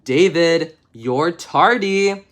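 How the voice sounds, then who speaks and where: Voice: mid-range voice, lively intonation, a little slow, natural voice. Stern storybook teacher, always exasperated, scolding a misbehaving pupil, read aloud by a storyteller. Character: strict scolding schoolteacher.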